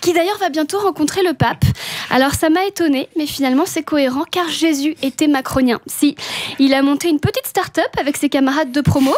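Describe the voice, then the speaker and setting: A woman's voice: high-pitched, fairly quick. A woman in her thirties with a French accent, delivering a scripted comic radio column in a playful, tongue-in-cheek manner with set-up punchlines. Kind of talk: scripted comic monologue with banter. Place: small indoor radio studio. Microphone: close studio broadcast microphone.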